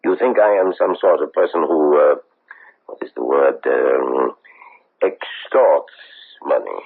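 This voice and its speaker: An actor playing an insistent middle-aged investigator, formal and halting, in a radio mystery. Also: male voice, extremely low pitch, exaggerated voice. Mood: coolly probing. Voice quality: gravelly, husky. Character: insistent middle-aged European male investigator, coolly courteous and menacing. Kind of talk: 1970s radio mystery acting. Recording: tinny, band-limited old radio broadcast.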